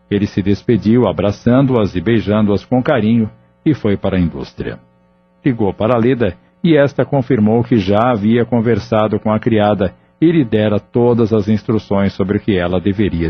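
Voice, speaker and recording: A man narrating an audio novel in a steady, measured storytelling manner. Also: on the low side, husky. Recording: dull lo-fi audio, faint music.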